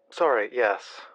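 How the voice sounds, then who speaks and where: A man playing a slow, weary, guarded doctor. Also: medium pitch, a bit bright, dry recording, poor audio. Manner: terse, sighing, evasive. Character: weary, guarded male doctor working late nights. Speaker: actor in an audio drama. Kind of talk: low-key naturalistic audio drama dialogue.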